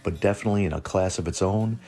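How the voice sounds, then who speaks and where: A man's voice: sits low, fast talker, warm tone. A man narrating a scripted lore show as host, with dry joking asides.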